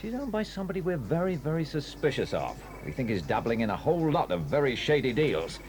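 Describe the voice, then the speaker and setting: A hearty, kindly police inspector with a British accent, played by an actor. He talks in a genial, fussy way, and his pitch moves a lot. Faint hiss sits under him. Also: sing-song, quick pace, a little mellow, natural voice. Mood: pleased. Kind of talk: 1980s audio drama acting.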